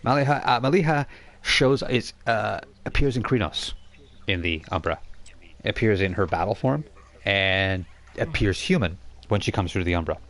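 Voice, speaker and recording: A man running a tabletop game as game master, narrating off the cuff and explaining in a halting, self-correcting way. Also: lowish voice, expressive, a bit bright, a little hiss or hum.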